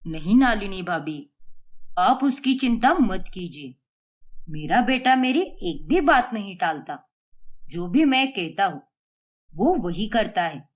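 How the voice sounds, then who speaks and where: A woman voicing a middle-aged man, the father's cheerful friend, in an animated storybook, talking in a hearty, insistent way. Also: medium pitch, takes it slowly, voice pushed down.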